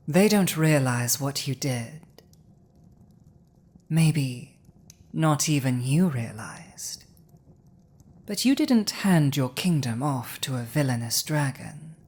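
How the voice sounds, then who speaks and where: A woman voicing a proud, domineering female dragon with an awkwardly tender side, in a dramatic ASMR roleplay, speaking deliberately with a husky, breathy voice. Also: low voice, darkish tone, full character voice. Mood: proud, possessive. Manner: slow, deliberate, imperious, with long pauses.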